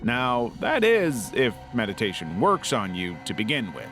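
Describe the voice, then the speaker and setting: A man's voice: lowish voice, wildly swooping pitch, moderate pace, fairly bright tone, faint music behind. A man reading scripted narration in the role of an online video narrator. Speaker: narrator of internet video countdown.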